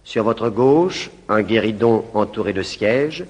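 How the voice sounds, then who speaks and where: A man's voice: on the low side, lively intonation, a little mellow, breathy edge, long reverb tail, dull audio. A man formally announcing the cast and the stage setting of a play. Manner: slow, measured announcing.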